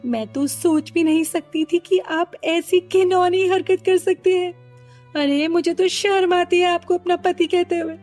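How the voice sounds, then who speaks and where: A woman's voice: extremely high pitch, fairly even intonation, measured pace, darkish tone, soft background music. A woman playing an honest, hardworking food-cart wife in a Hindi cartoon story, earnest and respectful. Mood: shocked, angry.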